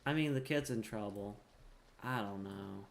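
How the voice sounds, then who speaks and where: Casual male gamer thinking out loud. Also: lowish voice, measured pace, creaky, a little hiss or hum. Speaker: gamer recording a playthrough. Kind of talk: casual gameplay commentary, talking to self.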